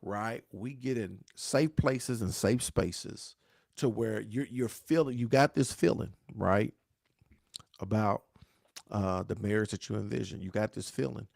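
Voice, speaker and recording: A pastor who talks to viewers in a preachy, repeating way and asks "right?" again and again. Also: male voice, lowish voice, measured pace, creaky, darkish tone, no room sound, clean studio sound. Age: 40s. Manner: dialect grammar, says "y'all". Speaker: pastor hosting a grief talk show. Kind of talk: live-stream talk answering viewer questions.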